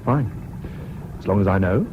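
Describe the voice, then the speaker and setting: A level-headed British officer, played by a male actor, measured and polite with a concerned, practical way of talking. It is an old radio play with dull sound and some noise. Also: on the low side, expressive, natural voice. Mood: friendly, careful. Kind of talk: 1970s BBC radio drama acting.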